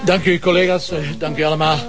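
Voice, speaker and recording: A man's voice: on the high side, flat delivery, quick pace, over music, natural voice. A man with a Flemish accent playing a determined, protective police commissioner in a television crime drama. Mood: relieved, tender.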